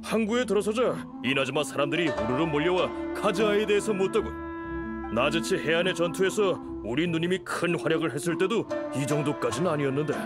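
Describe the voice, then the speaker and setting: A man's voice: fairly high pitch, close to an everyday voice. A man voicing an easygoing sailor in a video game, talking in a casual, conversational way. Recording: loud music over the voice.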